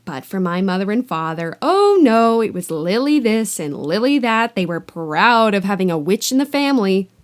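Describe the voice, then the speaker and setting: A woman voicing a shrill, bitter middle-aged woman who rants spitefully. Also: big pitch swings, moderate pace, few pauses, close to an everyday voice. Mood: ranting. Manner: shrieking, spiteful.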